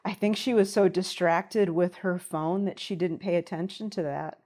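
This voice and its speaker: A woman's voice: lowish voice, steady tone, moderate pace, mellow. A woman guest on a talk show, telling a personal story in an interview.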